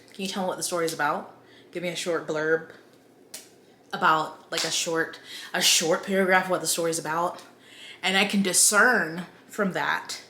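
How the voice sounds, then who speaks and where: A woman's voice: mid-range voice, fairly bright tone. An American woman chatting casually to her viewers as a book vlogger, informal, conversational and opinionated. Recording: echoey room with faint background noise.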